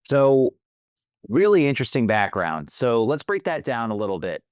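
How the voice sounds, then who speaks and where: A man's voice: mid-range voice, sing-song, moderate pace, darkish tone, clear, resonant tone, dry recording, clean studio sound. A man hosting a podcast, introducing his guest and asking questions in a friendly, loose way. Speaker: podcast host. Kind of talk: casual interview hosting.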